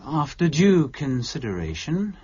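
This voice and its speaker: An actor playing an earnest, easily discouraged gentleman companion in an audio drama. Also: a little high, big pitch swings, unhurried, breathy edge, exaggerated voice. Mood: pondering. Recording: dull, distant, background noise.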